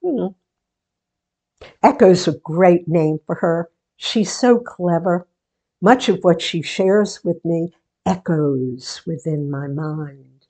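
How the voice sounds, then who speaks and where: A woman acts out a chatty, wry middle-aged woman in a radio drama. She takes her time with each line, speaking at a very slow pace. Her delivery is theatrical and musing, as in a one-sided conversation. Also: fairly low pitch, mellow. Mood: musing, wistful. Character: wry, chatty grown woman running a book exchange.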